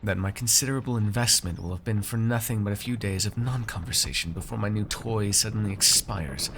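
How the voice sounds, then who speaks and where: Man playing a low-voiced, haughty fantasy nobleman master. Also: a bit bright, slight background noise. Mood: coaxing, wry. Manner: measured, condescending, formal and wordy, with sighs. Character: haughty, shrewd nobleman master coaxing a frightened purchased mage servant. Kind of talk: ASMR fantasy audio roleplay.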